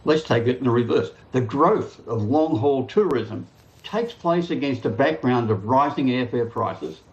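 Measured man on a muffled line. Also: mid-range voice, lively intonation. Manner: measured, structured. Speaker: tourism commentator guest.

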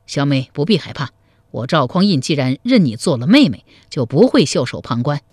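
A woman storyteller lowering her voice into a steady young hero who speaks firmly and without fuss. He is measured and resolute, in a close, dry radio-story sound. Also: breathy edge, dry recording, exaggerated voice. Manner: firm, measured and low.

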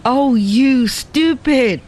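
A man's voice: very high-pitched, drawn-out words, a little mellow, voice pushed up, heavily acted. A man reading a storybook aloud, voicing an outspoken, indignant young American woman who scolds at length. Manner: scolding, emphatic.

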